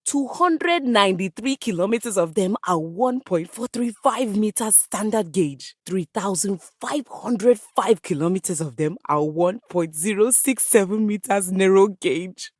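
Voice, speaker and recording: A woman's voice: medium pitch, no echo, clean studio sound. A woman voicing a grand, self-important piano diva for a video game, with pompous, florid and dramatic delivery. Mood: proud, showy. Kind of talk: video game character acting.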